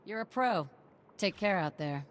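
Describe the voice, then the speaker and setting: An actress playing a battle-weary but encouraging military officer in a video game, speaking in an informal, direct way. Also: female voice, mid-range voice, expressive, unhurried, a little hiss or hum, close to an everyday voice. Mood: grave, rallying.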